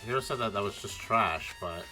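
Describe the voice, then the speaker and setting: A man's voice: on the low side, fairly quick, music in the background, lightly acted. A man voicing an earnest, suspicious young detective, questioning and narrating in an amateur video game dub. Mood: wary, narrating. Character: earnest, suspicious teenage boy detective narrating his investigation.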